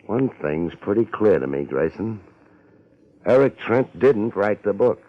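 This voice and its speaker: A cocky, wisecracking hard-boiled detective in a vintage radio play. His voice sits low and moves in lively swings, with sardonic slang. The old recording is dull and muffled. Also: measured pace. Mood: assured, decisive. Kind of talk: 1950s radio detective drama acting.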